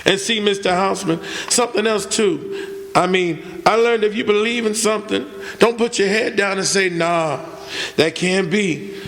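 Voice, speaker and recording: A man playing a humble, earnest young country man with folksy, halting, sincere speech in a radio drama. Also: high voice, fairly bright tone, slight background noise. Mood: grateful, earnest. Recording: reverberant hall stage recording.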